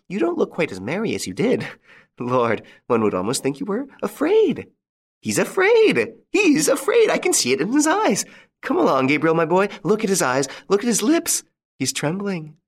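A man doing a shrill vengeful widow. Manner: shrill, frantic, taunting. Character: vengeful shrill middle-aged widow, furious.

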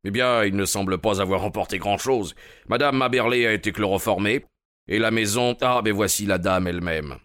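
A man's voice: lowish voice, fairly quick, a bit bright, dry recording, clean studio sound, natural voice. A man voicing a bustling, pompous veteran police inspector in an audiobook, self-satisfied in tone. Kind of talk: audiobook character reading.

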